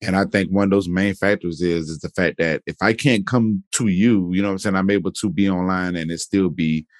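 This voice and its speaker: Low-voiced man hosting a podcast. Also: fairly quick, dry recording, clean studio sound. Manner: relaxed, rambling, many fillers and restarts. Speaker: podcast host. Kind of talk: casual interview chat.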